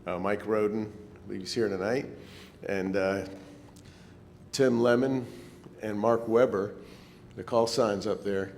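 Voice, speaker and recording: A man's voice: steady tone, a little slow, pauses often, a little mellow. A man giving an informal, slightly hesitant club presentation in a meeting hall. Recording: echoey hall, rumbling background noise.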